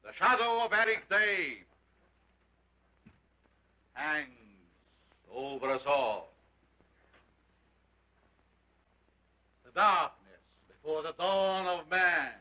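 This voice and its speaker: A man playing a grandiose carnival showman and self-styled scientist, speaking in a booming, theatrical way. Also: expressive, slow, pauses often, pushed and bright, full character voice, poor audio. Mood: commanding. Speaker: sideshow doctor presenting an ape.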